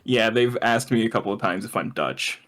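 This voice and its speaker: A man's voice: lowish voice, animated, brisk, fairly bright tone. A man co-hosting a podcast, chatting casually in a joking, rambling way. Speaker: podcast co-host. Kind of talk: casual comedic chat.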